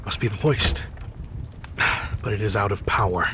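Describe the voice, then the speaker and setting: An actor playing a dry, weary military captain in a video game, speaking tersely with a sardonic edge. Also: male voice, fairly low pitch, a little slow, heavy background noise, lightly acted. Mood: muttering. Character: weary, dutiful soldier captain, grudging and dry.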